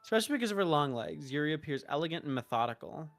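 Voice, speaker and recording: Woman streamer, expressive visual novel narration, varied intonation, occasionally halting, faint game music. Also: sits low. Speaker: streamer reading a game aloud.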